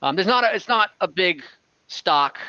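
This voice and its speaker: Bright-voiced man running a game. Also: high-pitched, animated, dry recording. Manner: informal, halting, thinking aloud. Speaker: game master and host. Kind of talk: casual improvised game narration and chat. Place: online voice call from home. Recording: dull, close online call audio.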